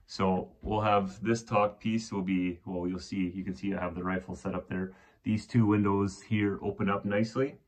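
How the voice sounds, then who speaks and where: A man's voice: sits low, moderate pace. A young man giving a casual, rambling walkthrough of a small wooden shack, filmed on a handheld phone held at arm's length. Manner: relaxed, rambling, frequent restarts and fillers. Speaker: vlogger. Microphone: handheld phone camera at arm's length.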